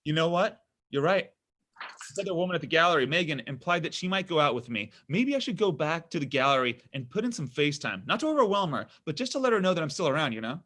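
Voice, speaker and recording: A man's voice: a little high, big pitch swings, quick pace, a bit bright. A man playing a self-absorbed, needy young man in a comedy table read, melodramatic and whiny. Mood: hopeful.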